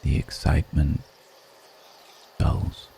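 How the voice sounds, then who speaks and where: An extremely low-voiced ancient male vampire, acted by a man for an ASMR roleplay, with a gravelly creak in his voice. He is world-weary yet seductive, brooding unhurriedly in a dark, mellow tone. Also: fairly even intonation, slight background noise, heavily acted. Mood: weary, wistful. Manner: slow, deliberate, brooding, with long pauses. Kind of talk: intimate ASMR roleplay monologue.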